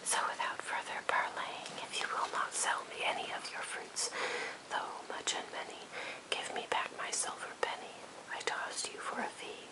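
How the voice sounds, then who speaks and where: A woman voicing a polite, steadfast young maiden in a breathy whisper, in an ASMR poem reading. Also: moderate pace, natural voice, low-quality recording. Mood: polite, firm.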